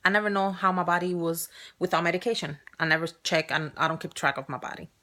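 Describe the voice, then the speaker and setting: A woman's voice: lowish voice, fairly even intonation, quick pace, bright, forceful tone. A woman in her thirties with a strong Spanish accent, talking openly and chattily in a personal vlog, often restarting and correcting herself. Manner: chatty and open, with frequent restarts and self-corrections. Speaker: vlogger.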